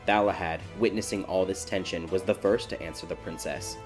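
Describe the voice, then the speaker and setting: A man reading a scripted dark fairy tale as a dramatic audiobook narrator. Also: sits low, fairly even intonation, fairly quick. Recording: background music behind the voice.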